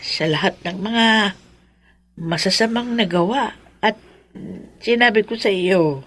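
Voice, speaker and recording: A woman playing a remorseful older mother-in-law in a Filipino radio drama, with halting, emotional delivery. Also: medium pitch, a bit bright. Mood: remorseful, pleading.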